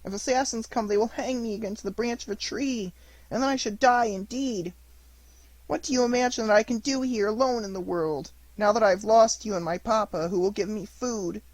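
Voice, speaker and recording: A woman voicing an anguished wooden boy puppet, high and wailing as he pleads. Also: darkish tone, no room sound, natural voice, rough recording. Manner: high wailing sobbing delivery.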